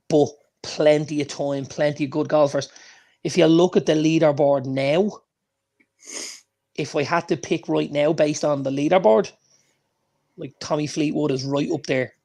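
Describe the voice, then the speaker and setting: Male podcast co-host, higher pitch, enthusiastic, emphatic casual delivery. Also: vocal fry. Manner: enthusiastic, emphatic, repeats phrases for stress. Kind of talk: casual chat.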